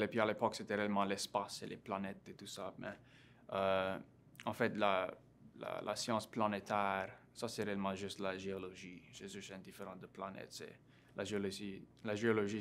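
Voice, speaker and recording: A young man in his twenties with a Cajun French accent, talking as an interview guest, halting and full of fillers and restarts. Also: lowish voice, fairly bright tone, smooth. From Louisiana. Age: 20s. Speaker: interview guest, graduate student. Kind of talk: casual interview conversation.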